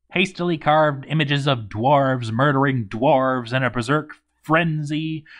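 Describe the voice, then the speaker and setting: A man reading a game story aloud as dramatic narration, with theatrical emphasis and deliberate pauses. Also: mid-range voice.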